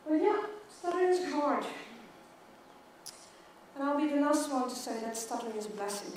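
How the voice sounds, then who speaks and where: High-voiced Swedish woman who stutters. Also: animated, moderate pace, darkish tone, slight background noise. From Sweden. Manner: stutters with repeated words and syllables. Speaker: keynote speaker. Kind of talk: conference keynote speech. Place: large conference hall. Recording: echoey hall, mic from far off.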